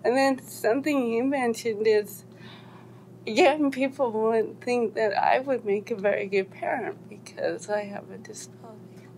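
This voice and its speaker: A woman in a discussion group sharing her experience, her speech slightly slurred and halting, with frequent restarts. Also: fairly high pitch, fairly even intonation, a little mellow, a little hiss or hum, low-quality recording. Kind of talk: personal testimony.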